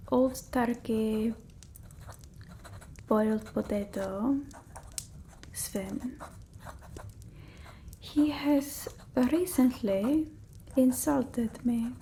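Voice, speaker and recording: A melodramatic, aggrieved vampire woman murmurs. Her voice is high and mellow. She draws her words out slowly and theatrically. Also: a little hiss or hum, exaggerated voice. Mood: pompous, formal. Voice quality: soft, breathy whispering. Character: petulant, melodramatic female vampire from an old village. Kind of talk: ASMR roleplay, mostly whispered.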